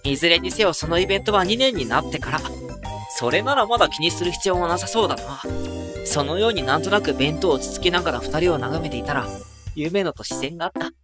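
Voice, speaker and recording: A man playing a wry, self-mocking high school boy who narrates an anime audio drama with dry asides. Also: expressive, fast talker, music in the background, natural voice. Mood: musing.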